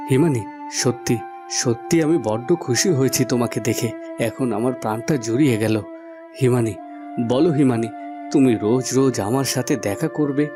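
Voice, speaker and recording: A man's voice: mid-range voice, normal speed, over music, lightly acted. A man voicing a lovesick young woodcutter, earnest and emotional, in a melodramatic cartoon fairy tale.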